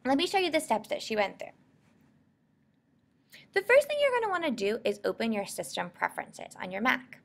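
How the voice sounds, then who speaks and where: American female instructor for tutorial narration, informal and explanatory, lively intonation with a creaky edge. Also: on the high side, a little fast, long pauses. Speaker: software instructor.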